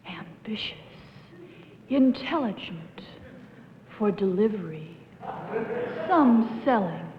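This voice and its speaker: A young woman playing a lovestruck character in a dramatic reading, breathy and lingering, on an old TV recording. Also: mid-range voice, dull audio. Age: young adult. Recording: echoey, noisy 1950s TV studio recording.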